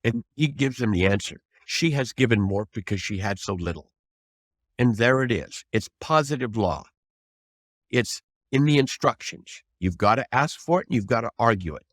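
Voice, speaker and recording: A man's voice: mid-range voice, expressive, moderate pace, dry recording, clean studio sound. An older man, a trial lawyer, giving advice as an interview guest in an earnest, emphatic, folksy way with rhetorical questions.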